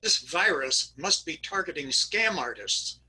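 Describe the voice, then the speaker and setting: A man's voice: on the high side, sing-song, measured pace, bright, forceful tone, a little hiss or hum, poor audio. An older man giving a performed reading of jokes and stories on a video call. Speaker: readers theater performer.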